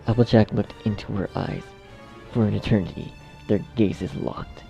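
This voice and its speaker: A man reads a scripted horror story as narrator. His voice is low with heavy creak, set over clearly audible music. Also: steady tone, moderate pace, warm tone, lo-fi sound.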